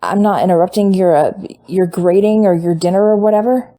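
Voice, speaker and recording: A woman voicing a restless, gruff young man who talks in halting, mumbled understatements. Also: medium pitch, dark tone, natural voice. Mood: hurried.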